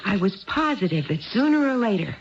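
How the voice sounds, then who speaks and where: An actress playing a nervous, hurt, neglected housewife in a 1970s radio drama, talking in a chatty, halting way that seeks approval. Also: female voice, mid-range voice, sing-song, lightly acted. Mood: earnest, chatty. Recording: muffled vintage radio broadcast recording.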